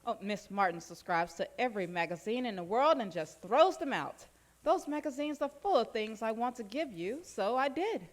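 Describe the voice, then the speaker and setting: An actress playing a doting, teasing wife who is tender and gently scolding, in a live radio play. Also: female voice, fairly high pitch, lively intonation, moderate pace, natural voice. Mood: eager, giving. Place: live stage in a recreation center.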